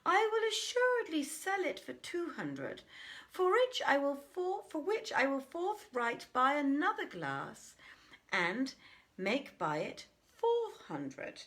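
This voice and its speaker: A man's voice: very high voice, huge pitch swings, slow, fairly bright tone, pitched above the performer's own voice, exaggerated voice. A woman voicing a boastful, greedy glassware seller, a man musing grandly on future riches in a storybook tale. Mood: excited scheming. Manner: grandiose, self-absorbed musing. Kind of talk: dramatic storybook reading.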